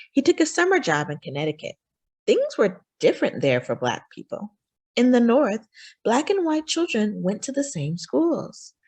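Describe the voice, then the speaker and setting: A woman reading a children's picture book aloud as a story time host, with expressive, deliberate phrasing for young listeners. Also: medium pitch, slightly rough.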